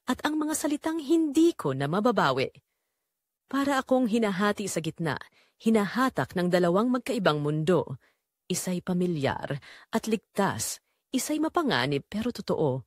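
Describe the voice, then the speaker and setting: Filipino woman reading a dramatic story, measured but really expressive pitch, no room sound. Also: no room sound, studio quality. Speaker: audiobook narrator. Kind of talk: scripted dramatic first-person story narration.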